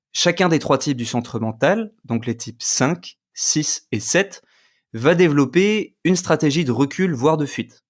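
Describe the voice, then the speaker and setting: A man co-hosting a podcast, giving a measured, scripted explanation as an enneagram coach and trainer. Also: medium pitch.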